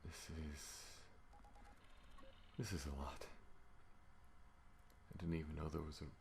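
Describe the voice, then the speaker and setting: A man playing a protective spaceship pilot on the run in an intimate ASMR audio roleplay, speaking earnestly and haltingly. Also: rumbling low, steady tone, creaky, background noise, heavily acted. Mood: conflicted, tender.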